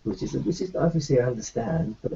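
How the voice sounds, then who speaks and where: Gravelly man, halting reassurance. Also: mid-range voice, lively intonation, dark tone, highs cut off, slight background noise. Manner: halting, reassuring, many restarts. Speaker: tabletop roleplayer. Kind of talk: in-character roleplay chat.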